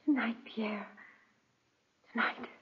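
Casting: a secretive, nervous wife, very husky and fairly high, for old-time radio melodrama. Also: female voice, steady tone, slow, exaggerated voice. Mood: urgent, fearful. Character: anxious, secretive wife deceiving her dangerous husband. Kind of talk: 1940s radio acting. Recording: dull, muffled 1940s radio recording.

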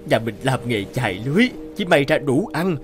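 A man playing a humble, kindly old fisherman father in a cartoon fairy tale, gentle and plain-spoken. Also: medium pitch, very animated, brisk, soft background music, close to an everyday voice. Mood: weary, reproving.

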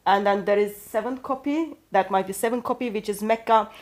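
Young Turkish-accented woman, mid pitch, careful, halting, non-native phrasing, book-lined study. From Turkey. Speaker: co-host. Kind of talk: conversational discussion. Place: indoor study with bookshelves.

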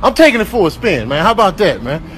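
Guy as a scrappy talking animal, high voice, cocky n slangy, noisy clip. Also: very animated, fast, fairly bright tone. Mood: aggrieved. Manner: slangy, confrontational, drops "man" and "bro" constantly. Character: cocky, aggrieved talking animals itching for a fight.

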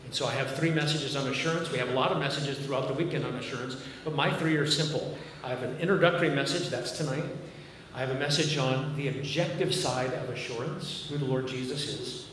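A man in his fifties preaches with a Massachusetts accent, earnest and conversational, slipping in dry jokes at his own expense. He stands at a podium on the stage of a large church auditorium, picked up by a camera far back in the room. The sound rings through a roomy hall with a long tail of reverb and clear background noise. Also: medium pitch, a little fast, a bit bright. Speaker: pastor. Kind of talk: sermon. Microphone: podium mic, filmed by a far camera.

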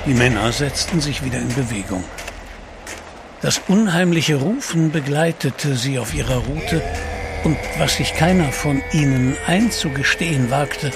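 A man narrating an adventure audio drama from a script, with a creaky voice. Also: mid-range voice, unhurried. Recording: clear atmospheric background noise.

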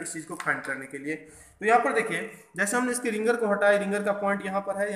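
Male repair instructor, bright forceful tone, explanatory tutorial delivery, echoey workbench recording. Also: high-pitched. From India. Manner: explanatory, mixes in English technical terms. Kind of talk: hands-on tutorial narration. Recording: echoey room, faint background noise.

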